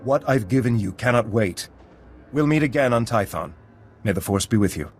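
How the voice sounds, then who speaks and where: Wounded jedi agent, urgent and earnest, soft music under. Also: male voice, mid-range voice, lively intonation, normal speed, husky. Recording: game audio with faint music.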